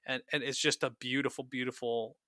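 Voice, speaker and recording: A man's voice: mid-range voice, little pitch movement, fairly quick, bright, forceful tone, no echo, clean studio sound. A man co-hosting a podcast in casual, enthusiastic review chat, speaking in an earnest, effusive way. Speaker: podcast co-host.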